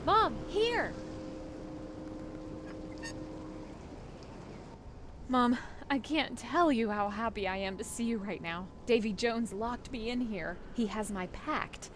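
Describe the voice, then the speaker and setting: An actress playing a frightened young daughter held captive in a video game, speaking in an earnest, pleading manner. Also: female voice, on the high side, lively intonation, unhurried, hardly any pauses, background noise. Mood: relieved. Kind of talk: video game character acting.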